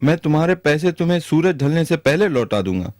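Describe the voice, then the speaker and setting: A man playing a kind, honest moneylender in a moral-story cartoon, speaking in a gentle, earnest way. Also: fairly high pitch, sing-song, fairly quick. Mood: anxious, pleading. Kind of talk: animated moral-story dubbing.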